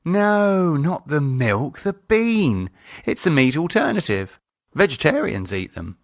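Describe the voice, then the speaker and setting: Man playing middle-aged British wife, audiobook character, exasperated, sarcastic, flirty, colloquial grumbling, animated pitch, dry recording. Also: takes it slowly, dry recording, heavily acted.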